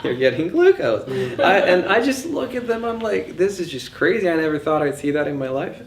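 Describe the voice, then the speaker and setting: A man's voice: sits high, sing-song, brisk, crowd noise. A man giving an informal lecture, thinking aloud in a conversational and opinionated way. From born in Bulgaria, grew up in several countries. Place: indoors in a session room. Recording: echoey room, faint background noise.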